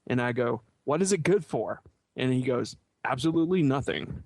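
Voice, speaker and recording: A man's voice: medium pitch, expressive, moderate pace, dry recording. Man chatting casually, dry close recording. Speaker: podcast panelist. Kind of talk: casual chat, telling game anecdotes.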